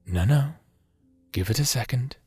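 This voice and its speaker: A man playing a gentle, fatherly creator god with a hidden weariness in a scripted audio roleplay, soft-spoken and deliberate, sometimes slipping into a whisper. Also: mid-range voice, animated, over music, natural voice. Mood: tender, doting. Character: gentle fatherly creator god, tender mentor, secretly haunted and weary.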